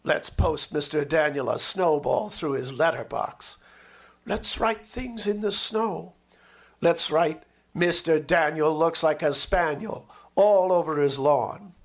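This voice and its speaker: An imaginative little boy, played by a man in a storybook reading, full of playful boasts and mischief. The voice is raised a little in pitch and sounds eager as he spins his schemes. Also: slow pace, creaky, heavily acted. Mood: mischievous wonder. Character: imaginative, boastful, mischievous small boys chattering together.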